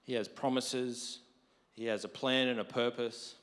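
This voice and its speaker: A married man preaching a conversational sermon, his voice deep from lack of sleep, rising to emphatic quotes. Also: flat delivery, measured pace, a bit bright. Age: adult, married. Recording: long hall reverb.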